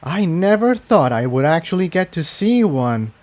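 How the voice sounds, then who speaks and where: Man voicing an earnest female pony sorceress, pitched up. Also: big pitch swings, normal speed, a little mellow. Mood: awed.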